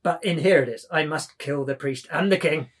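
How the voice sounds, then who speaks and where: A man's voice: a little high, moderate pace, husky. A man acting a drunken young poet, rambling, philosophising and defiant, in a dramatic table reading. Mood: rambling, lofty.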